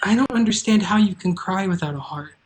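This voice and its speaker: A woman's voice: mid-range voice, brisk, natural voice. A woman playing a kind, practical young farm girl in a live fantasy table read, earnest and reassuring.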